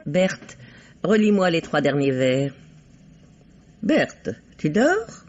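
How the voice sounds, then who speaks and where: An actress playing a bossy but doting elderly French spinster in a radio mystery play, theatrical and primly scolding, with a husky voice. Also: female voice, deep voice, big pitch swings, drawn-out words, a little mellow, lo-fi sound, faint music behind, heavily acted. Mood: bossy, teasing. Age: 70s.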